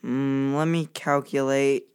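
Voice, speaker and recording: Nerdy schoolboy, mid-pitched voice, pedantic, measured, matter-of-fact, children's audio drama. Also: level tone, darkish tone, smooth. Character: bookish, precise, nerdy boy with glasses.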